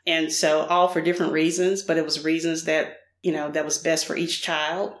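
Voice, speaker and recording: A woman's voice: sits low, flat delivery, normal speed. A middle-aged woman from Nashville, a podcast guest, answering in a candid, casual way with many fillers. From Nashville, Tennessee. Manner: frequent fillers, thoughtful and candid. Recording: roomy echo.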